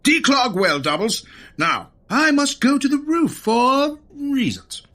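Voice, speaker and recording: Pompous comic radio-play police chief, husky and theatrical, very animated pitch. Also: female voice, takes it slowly, heavily acted. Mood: bossy, theatrical. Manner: pompous, oratorical, dramatic. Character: blustering, righteous, theatrical police commissioner Theodore Roosevelt. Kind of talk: comic audio drama acting.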